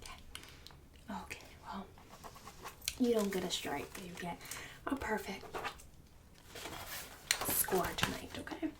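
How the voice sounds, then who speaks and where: A woman playing a snobbish, bossy book-club mom in an ASMR roleplay, mostly in a breathy whisper with fussy, passive-aggressive condescension. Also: mid-range voice, takes it slowly, long pauses, far from the mic, noisy background, natural voice. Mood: judgmental, smug. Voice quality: breathy whisper and soft speech.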